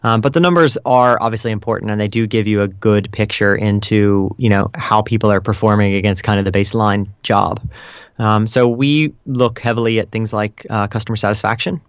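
A man answering interview questions as a guest, explaining things in a measured, conversational way with casual hedges. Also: lowish voice, smooth, dry recording. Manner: explanatory, measured, hedging with "kind of" and "you know". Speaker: interview guest, customer support director.

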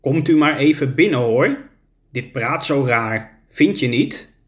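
A woman's voice: very deep voice, lively intonation, exaggerated voice. A man reading a storybook and voicing a frail, confused ninety-six-year-old woman in a care home. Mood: bewildered. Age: 90s. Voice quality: slow, hesitant, a little confused.

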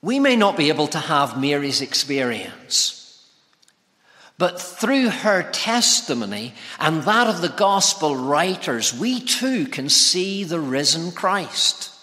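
A man preaching a sermon in deliberate, measured phrases broken by pauses. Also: a little high, sing-song, bright, forceful tone. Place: large church interior, preaching to congregation. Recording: long reverberant church hall echo.

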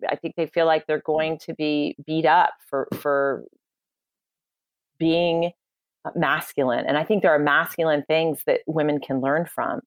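A woman's voice: lowish voice, dry recording. A woman giving confident, emphatic advice on communication in a podcast interview. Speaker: communication coach for lawyers.

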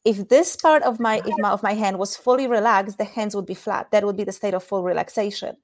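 A woman's voice: medium pitch, a little fast. A woman from Poland with a Polish accent, a guest in a podcast interview, speaking in an earnest, explanatory way and guiding listeners through simple exercises. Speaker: intimacy coach guest.

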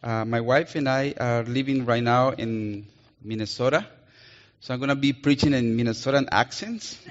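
A man's voice: medium pitch, normal speed. A Colombian man preaching with a Colombian Spanish accent, playfully drawing answers from the congregation. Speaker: missionary preacher. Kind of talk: interactive sermon. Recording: muffled sound in a reverberant hall.

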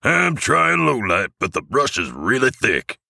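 A man puts on an eager elf technician giving focused military reports. The voice is pitched far up and sharp, in a dry, close recording. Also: big pitch swings, measured pace, creaky, no echo, exaggerated voice. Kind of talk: military comedy audio story.

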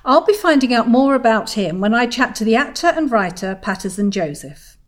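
A woman's voice: fairly high pitch, sing-song, normal speed. A woman hosting a podcast, reading scripted trailer narration. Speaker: podcast host.

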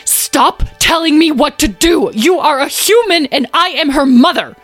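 Woman playing a proud, forceful mother beast. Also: lowish voice, measured pace, soft background music. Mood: furious. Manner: crisp, forceful. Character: proud, strained mother creature, quick to roar.

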